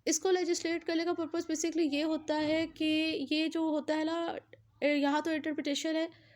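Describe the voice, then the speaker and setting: High-pitched Pakistani woman lecturing. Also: level tone, moderate pace, darkish tone. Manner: mixes in English terms, repeats key points for emphasis. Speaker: law lecturer. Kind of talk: explanatory exam-prep law lecture.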